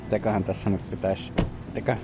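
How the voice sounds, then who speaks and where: A man's voice: lowish voice, little pitch movement, racing. A Finnish man with a Finnish accent giving casual gameplay commentary as he plays. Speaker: gamer making a let's play. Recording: game music behind the voice. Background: video game music and dialogue.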